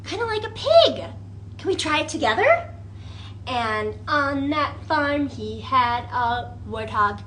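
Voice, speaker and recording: Bright, high-voiced woman leading children's storytime. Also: slow pace, slight background noise. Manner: animated, exaggerated wonder, coaxing questions for young children. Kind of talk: interactive children's storytime with puppets and singalong.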